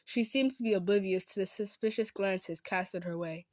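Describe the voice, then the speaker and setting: A woman's voice: medium pitch, normal speed, a little mellow, no room sound. Woman narrating a story, very dry sound. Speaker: narrator and performer of roleplay audio. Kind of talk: scripted second-person story narration.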